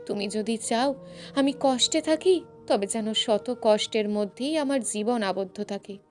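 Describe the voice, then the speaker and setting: Woman acting a high-voiced brooding, wounded young man. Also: measured pace, darkish tone, soft background music, close to an everyday voice. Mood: heartbroken. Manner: curt, wounded, melodramatic. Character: brooding, heartbroken, curt young man in love.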